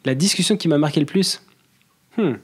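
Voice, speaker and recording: A man, talking as a guest on a podcast in a relaxed, hesitant way, with many fillers and restarts. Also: a little high, moderate pace, breathy edge. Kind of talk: casual conversation.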